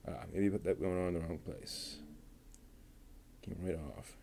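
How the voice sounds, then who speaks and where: A man talking himself through assembling a model kit, muttering and pausing between steps. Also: sits low, fairly even intonation, gravelly, dark tone, slight background noise. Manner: slow, halting, with many pauses. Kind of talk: casual build narration.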